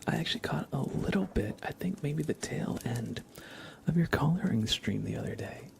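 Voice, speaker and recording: A man hosts an ASMR livestream, chatting casually and gently in a hushed whisper, close to the microphone. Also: fairly high pitch, animated, moderate pace, creaky, slight background noise. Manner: casual, friendly, thanking viewers. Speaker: livestream host. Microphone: close microphone with compression and limiter.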